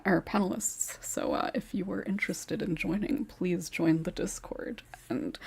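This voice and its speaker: Woman podcast host, low gravelly voice, chatty and giggly. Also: darkish tone. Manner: chatty, laughs between questions. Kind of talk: casual panel chat.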